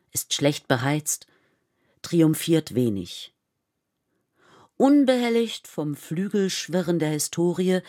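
A woman narrating a historical radio play in a measured, composed, literary manner. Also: sits low, mellow, full character voice.

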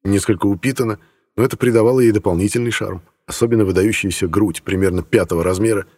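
A man's voice: on the low side, steady tone, fast talker, darkish tone, slightly rough, big-room sound. A man reading first-person fiction as a scripted audiobook narration.

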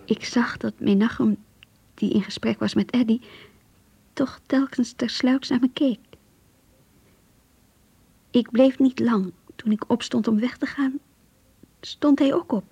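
An actress playing an earnest, lovestruck young woman confiding her diary in a radio play, in a hushed, breathy voice. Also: fairly high pitch, a little slow, pauses often, dry recording, full character voice. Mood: hushed, nervous. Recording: muffled, lo-fi radio play recording.

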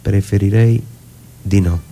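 A man acting a mild, calm male copyist in a literary radio reading, gentle yet firm, speaking slowly and sparely as he politely refuses. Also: lowish voice. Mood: calm, unyielding.

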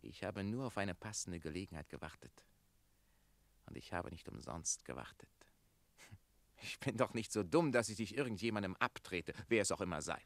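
An actor playing a smug, manipulative schemer in a German radio play, taunting and wheedling. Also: male voice, deep voice, expressive, normal speed, fairly bright tone, no echo.

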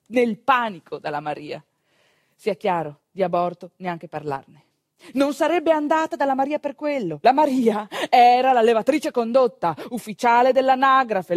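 A woman performing a theatrical monologue as a storyteller of rural midwifery, with a dramatic, emphatic delivery full of deliberate pauses. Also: medium pitch, dry recording, clean studio sound, natural voice. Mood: proud, assertive. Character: female storyteller recalling a rural midwife's work. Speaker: stage actress narrating a monologue.

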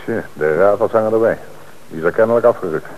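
A man plays a shrewd police commissioner in an old radio play, his voice very low and his delivery measured. The recording sounds dull, with a faint hiss. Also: natural voice. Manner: measured, authoritative. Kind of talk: radio drama acting.

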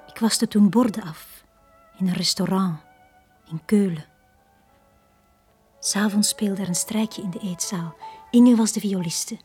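A Flemish actress playing a lonely, downtrodden young bar girl in a radio drama, speaking in a hushed, halting, confiding way. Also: mid-range voice, lightly acted. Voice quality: breathy, hushed.

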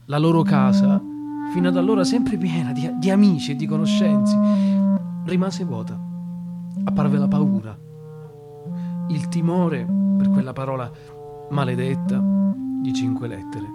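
An actor playing a dead young Sicilian doctor who speaks bitterly of his own death in halting radio drama monologue. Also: on the high side, over loud music, close to an everyday voice. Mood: bitter, anguished.

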